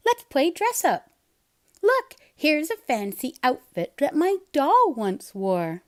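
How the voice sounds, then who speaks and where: A bubbly little girl kitten from a picture book, voiced by a woman. She gushes and coaxes in a high voice that bounces up and down. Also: huge pitch swings, takes it slowly, heavily acted. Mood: playful.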